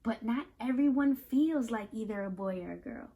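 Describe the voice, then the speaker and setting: A woman reading a picture book aloud to children, pausing to explain and put questions to the listeners in an instructive, animated way. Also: fairly high pitch, dull audio. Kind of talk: children's read-aloud with teaching asides.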